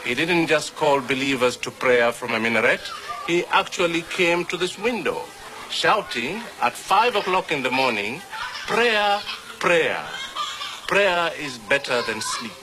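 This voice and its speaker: An older man from Kenya with a Kenyan accent, a native Swahili speaker, giving measured, reflective documentary narration as presenter. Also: fairly high pitch, poor audio. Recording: steady background noise.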